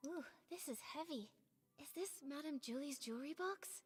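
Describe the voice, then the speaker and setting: A woman playing a gentle, curious young heroine in a video game, speaking politely and thoughtfully. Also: high-pitched, lively intonation, normal speed, soft background music.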